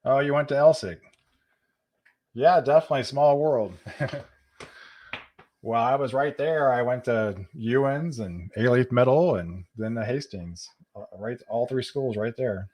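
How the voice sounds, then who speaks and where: A man's voice: medium pitch, a little mellow. A middle-aged man from Texas, hosting a weather channel livestream and chatting casually with viewers. He stumbles and repeats words as he talks. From Texas, USA. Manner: halting, repeats words, chuckles often.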